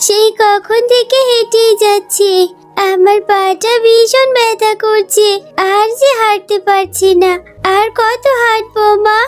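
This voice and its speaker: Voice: very high voice, flat delivery, measured pace. An actor voicing a pleading little jackal girl in a children's cartoon, with a thin, childlike voice. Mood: plaintive. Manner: plaintive, pleading. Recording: music under the voice.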